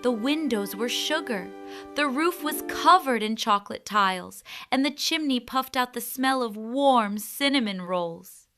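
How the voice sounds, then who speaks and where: A woman narrating a scripted fairy tale for children in an expressive, deliberate storytelling manner. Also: a little high, soft background music.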